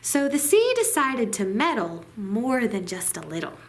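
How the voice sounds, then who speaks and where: A woman reading a children's picture book aloud at storytime, with deliberate, dramatic phrasing. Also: on the high side, sing-song, slight background noise. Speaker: library storytime reader.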